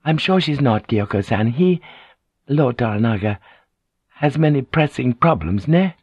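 A man's voice: mid-range voice, expressive, a little slow, husky, no room sound, pitched above the performer's own voice. A man voicing a polite, guarded noblewoman in audiobook dialogue, formal and controlled under her anxiety.

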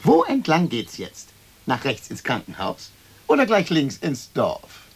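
Swooping, nosy gossip. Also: male voice, mid-range voice, wildly swooping pitch, unhurried. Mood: prying, mocking. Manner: clipped, prying, mocking. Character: nosy, needling gossip watching from a tree. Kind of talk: German radio play acting. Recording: old radio recording, faint noise.

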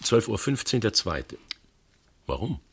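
An actor playing a matter-of-fact police detective in a German radio crime drama, questioning in a clipped, methodical way. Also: male voice, fairly low pitch, big pitch swings, measured pace, gravelly, fairly bright tone, slightly rough, heavily acted. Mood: probing. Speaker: police investigator.

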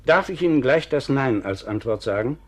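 A man acts a principled, reluctant scientist in a radio play. His voice is rough-edged and varies widely in pitch, with an educated, wry and slightly hesitant delivery. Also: lively intonation, normal speed, close to an everyday voice. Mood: wry, evasive. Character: reluctant, principled male physics professor. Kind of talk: German radio play acting.

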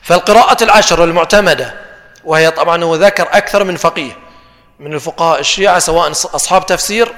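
A man's voice: high voice, fairly bright tone. A man moderating a panel discussion. He talks animatedly and draws out the verses he recites. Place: discussion forum in a hall. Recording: reverberant hall sound.

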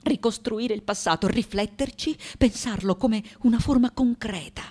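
An elderly, eccentric woman artist acted by a woman delivers a radio play monologue. She is intense, wry and haunted, with a dramatic delivery that turns breathy when hushed. Music plays behind her. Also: on the high side, normal speed, no echo, lightly acted. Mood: resolute, brooding. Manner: intense, dramatic, shifting between whispers and emphatic declamation. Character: aged female sculptor, haunted, obsessive, wry and eccentric.

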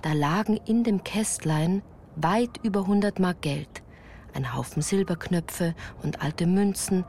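A woman's voice: fairly low pitch, a little mellow, a little hiss or hum. A woman reading scripted first-person narration for a radio play. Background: music.

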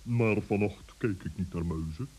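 A man voicing a big, stern, pompous owl with deliberate speech, in a children's radio play. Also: lowish voice, dark tone, husky. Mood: dignified, pensive. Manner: pompous, deliberate, long-winded wording. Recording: dull old radio recording.

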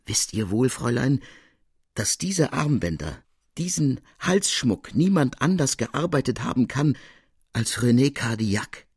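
A man's voice: medium pitch, lively intonation, a little slow, darkish tone, no room sound, studio quality, pitched above the performer's own voice. A man voicing a grand noblewoman, a courtly and emphatic marquise, in a storybook reading. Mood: astonished.

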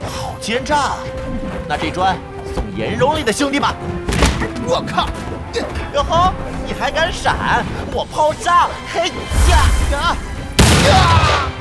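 An eager, naive young gamer in an audio drama. His high voice leaps around as he yelps and exclaims, with loud music behind. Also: lively intonation, slow, heavily acted. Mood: combative. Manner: yelping, exclamatory. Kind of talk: Chinese audio drama acting.

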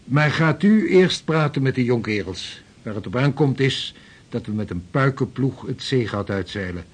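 Radio drama: eager, decisive sea captain, husky mid-range voice, hearty and formal. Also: male voice, mid-range voice, expressive, normal speed. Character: seasoned, eager Dutch sea captain and navigator. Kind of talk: radio play acting.

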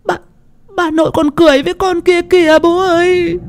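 A man's voice: extremely high pitch, level tone, normal speed, a little hiss or hum, voice pushed up. A man doing a sobbing, terrified little girl. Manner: stammering, repeats words through tears.

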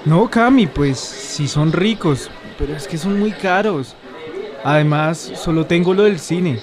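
A man's voice: on the high side, a little slow, a crowd in the background, natural voice. A man playing a stubborn, lovestruck, broke young man in a radio soap, talking colloquially with a Bogotá accent. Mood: coaxing. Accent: Colombian, Bogotá. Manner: colloquial, insistent. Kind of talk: amateur radio soap opera acting. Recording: clearly noisy, urban ambience.

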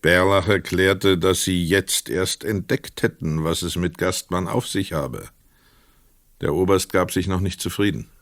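An actor narrating a crime story in a radio play in a measured, precise manner. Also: male voice, low voice, no echo, clean studio sound. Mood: measured, quiet.

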